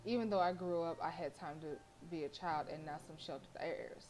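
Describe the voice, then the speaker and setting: A woman's voice: low-pitched, brisk, a little mellow, slight background noise. A woman game streamer reading story text aloud expressively, with playful casual asides. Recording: faint game music behind. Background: game music.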